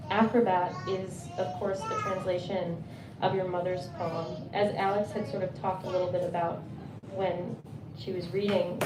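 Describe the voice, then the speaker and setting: A woman moderating a live Q&A at a bookstore poetry reading, asking questions in a friendly way with frequent fillers. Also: mid-range voice. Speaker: event moderator. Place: bookstore event space. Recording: roomy, distant, noisy room sound.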